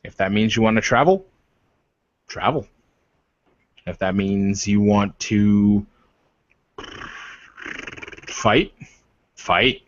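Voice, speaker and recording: Werewolf warrior leader, played by a male gamer: protective, proud, unhurried, lowish voice, dull lo-fi sound. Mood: insistent, reassuring. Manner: slow, emphatic, declarative speech. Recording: roomy, dull lo-fi microphone.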